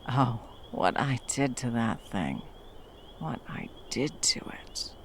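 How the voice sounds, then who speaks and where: A woman playing a battle-hardened, fiercely protective monster hunter in an intimate ASMR roleplay, speaking to a lover with dry, tender menace and a gravelly voice. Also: extremely low pitch, very animated, slight background noise, heavily acted. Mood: cold fury. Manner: menacing yet tender, slow deliberate storytelling with dry understatement.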